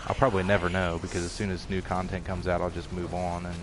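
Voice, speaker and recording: A man's voice: deep voice, little pitch movement, quick pace, over music. A man giving rambling, self-deprecating commentary while streaming a video game. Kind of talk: casual gameplay commentary.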